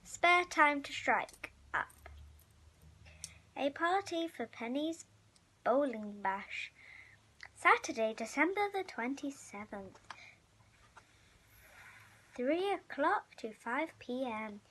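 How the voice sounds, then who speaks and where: A child reading a picture storybook aloud expressively, acting out the hero and halting over some words. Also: sits high, big pitch swings, sharp tone. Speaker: story reader.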